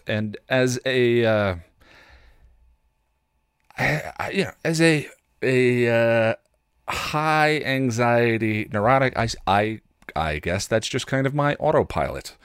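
A man hosting a podcast with a candid personal monologue, reflective and halting, with wry, self-deprecating delivery. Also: lowish voice, no room sound, studio quality. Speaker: podcast host.

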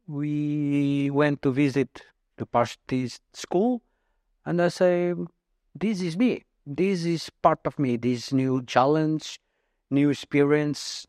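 A man in his early fifties from Portugal, a native Portuguese speaker, answering interview questions in halting English with many pauses and fillers. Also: mid-range voice, darkish tone, dry recording, studio quality. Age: 50s. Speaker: guest.

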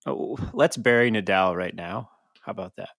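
A man's voice: low voice, normal speed, vocal fry, a bit bright, no room sound, clean studio sound. A man co-hosting a sports podcast in casual, bantering conversation. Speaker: podcast co-host.

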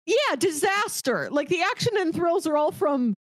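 A woman's voice: very high voice, sing-song, normal speed, dry recording. A woman co-hosting a casual film podcast, playfully recapping movies and acting out bits of paraphrased dialogue in an informal way. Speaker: podcast co-host pitching movies.

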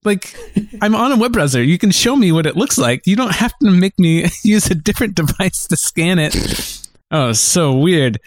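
A man's voice: high-pitched, animated, normal speed, no room sound. A man co-hosting a podcast, chatting casually in loose, informal phrasing. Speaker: podcast co-host. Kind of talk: casual chat.

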